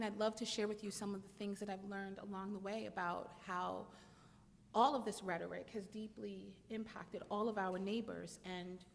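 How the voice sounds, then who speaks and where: A woman lawyer addressing a conference panel in an earnest, measured way, pausing on small fillers. Her mid-range voice carries a long reverb from the hall, and a faint hiss of noise sits under it. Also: mid-range voice, creaky. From United States.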